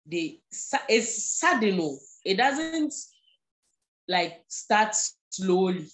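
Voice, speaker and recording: A woman's voice: medium pitch, big pitch swings, extremely slow, a bit bright. A woman with a Ghanaian accent, for whom English is not her first language, gives a patient live-streamed talk, repeating key points and checking in with "okay". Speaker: guest speaker on mental health.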